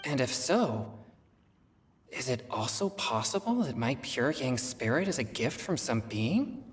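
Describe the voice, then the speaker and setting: A woman's voice: extremely low pitch, big pitch swings, a little slow, music in the background, exaggerated voice. A woman playing an earnest, level-headed teenage boy in a game, cool and reserved. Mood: pensive. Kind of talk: game cutscene acting.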